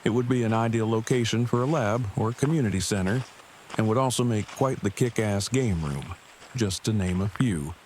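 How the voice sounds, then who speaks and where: A man's voice: on the low side, moderate pace, a little mellow, slight background noise, natural voice. An actor playing a nerdy, opinionated man in an audio drama, speaking in wordy, stiffly formal sentences. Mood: earnest, pedantic.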